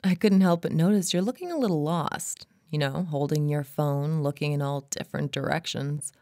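A woman's voice: sits low, normal speed, mellow, no room sound. A woman playing a seductive female hypnotist in an intimate roleplay, speaking in a soothing, coaxing way, often close to a whisper. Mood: friendly, coaxing. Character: seductive female hypnotist luring a lost traveler.

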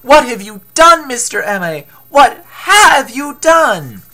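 A bold young adventuring sister from a storybook , put on by a woman. She is excitable and determined, speaking emphatically in a high, bright voice with wide swoops. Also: a little fast. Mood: shocked. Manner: emphatic, exclaiming.

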